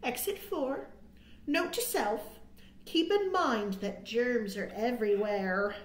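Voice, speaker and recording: A middle-aged woman reading a picture book aloud to young children with expressive, dramatic emphasis and chatty asides, as a storytime host. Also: fairly high pitch, takes it slowly. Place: small indoor room. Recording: roomy, echoing indoor sound.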